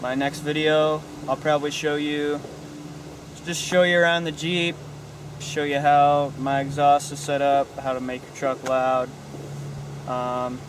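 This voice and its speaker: A man's voice: on the high side, flat delivery, measured pace. Young American man, noisy casual tutorial. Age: young adult. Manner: informal, offhand, loosely phrased. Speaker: host of a how-to car video. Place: outdoors in a driveway and inside a parked car.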